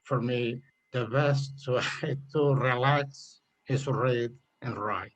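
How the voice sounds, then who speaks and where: An older man from Portugal with a Portuguese accent, speaking English haltingly on a video call about the essay he wrote. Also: mid-range voice, steady tone, poor audio. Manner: halting, searching for words, apologetic. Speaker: contest winner, retiree.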